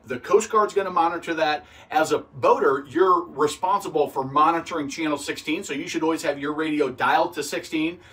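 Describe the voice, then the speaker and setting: Higher-voiced man explaining, a bit off-mic. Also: a bit bright, distant mic. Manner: plain-spoken, step-by-step, practical. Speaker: boating instructor and video host. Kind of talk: instructional explainer, conversational.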